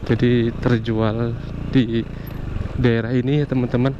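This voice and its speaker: Man, motorbike vlogger, flat delivery, unhurried drawn-out phrases, dull lo-fi sound, clear engine and road noise. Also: mid-range voice. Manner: unhurried, drawn-out phrases, addresses viewers often. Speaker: motovlog host. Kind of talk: casual narration while riding. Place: outdoors on a motorbike on rural roads. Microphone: action camera on the bike.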